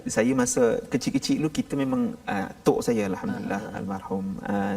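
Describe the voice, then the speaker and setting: A man speaking as a guest religious teacher in a casual studio discussion, chatty and animated, laughing easily. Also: lowish voice, brisk, distant mic. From Malaysia.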